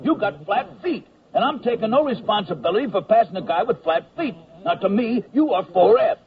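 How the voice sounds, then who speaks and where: An actor playing a stubborn, officious army medical sergeant with gruff, slangy talk in a radio comedy drama. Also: male voice, high voice, animated, fairly quick. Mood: indignant. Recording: dull, band-limited radio recording.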